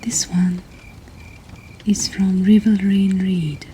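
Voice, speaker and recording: A woman whispers in a breathy, soothing and intimate way, hosting and reading poetry in an ASMR style. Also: mid-range voice, steady tone, slow pace, mellow, a little hiss or hum. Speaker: host of a poetry reading series.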